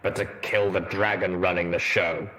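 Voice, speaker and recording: A man voicing a stern, suspicious armored soldier pony with a deep rumbling voice and a wry, deadpan manner in a story reading. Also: normal speed. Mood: stern, skeptical.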